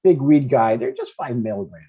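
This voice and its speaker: A man's voice: medium pitch, animated, normal speed, husky, muffled recording. A man playing a chatty, stubborn older father in a comedy table read, in a conversational, mildly needling way. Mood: pleased, chatty.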